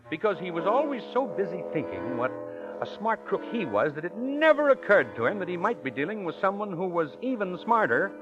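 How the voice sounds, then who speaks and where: An actor playing a smooth-talking con man who poses as a learned professor, pompous and wordy, in 1940s radio drama style. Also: high voice. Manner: pompous, wordy, theatrical delivery. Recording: muffled old radio broadcast.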